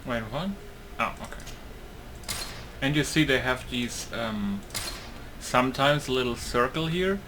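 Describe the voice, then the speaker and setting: A man giving a casual let's play commentary, thinking aloud in a halting way. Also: medium pitch, expressive. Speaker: gaming YouTuber. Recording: clear game noise behind. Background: video game ambience.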